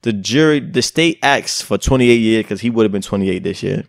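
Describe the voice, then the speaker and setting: A man's voice: lowish voice, lively intonation, normal speed, gravelly, no room sound, studio quality. A young man co-hosting a podcast in a casual, laid-back, joking chat. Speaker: podcast co-host.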